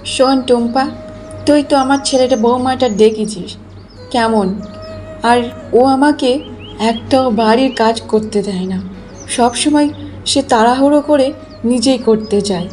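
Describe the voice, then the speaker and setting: A woman's voice: on the high side, a little slow, pauses often, darkish tone, soft background music, close to an everyday voice. A woman voicing a friendly middle-aged village auntie in a cartoon, full of persuasive advice. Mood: advising, chatty. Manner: advising, persuasive. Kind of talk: Bengali cartoon voice acting.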